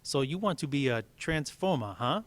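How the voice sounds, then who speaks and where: A man's voice: medium pitch, lively intonation, moderate pace, no room sound, lightly acted. A man voicing a sly old woman, a herbalist's wife in a storybook, coaxing and knowing.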